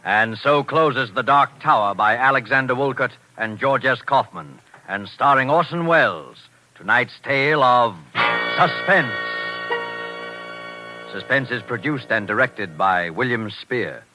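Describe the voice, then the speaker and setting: A man's voice: medium pitch, lively intonation, a little slow, poor audio. Formal radio announcer over music, a man. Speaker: program announcer. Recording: vintage 1940s radio, music behind.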